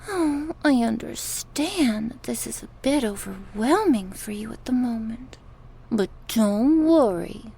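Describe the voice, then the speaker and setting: A woman playing a sadistic, gleefully cruel goddess in an ASMR horror roleplay, taunting theatrically and slipping into breathy whispers. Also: a little high, lively intonation, slow, warm tone, exaggerated voice. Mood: condescending.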